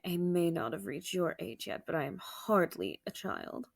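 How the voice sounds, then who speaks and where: Proud, defiant female vampire for audio roleplay, played by a woman: husky, animated, formal and combative. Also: lowish voice, normal speed, heavily acted. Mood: indignant, bitter. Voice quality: raspy, gravelly. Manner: formal, haughty, argumentative. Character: defiant, bitter female vampire warrior, proud former farm girl. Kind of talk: dramatic audio roleplay acting.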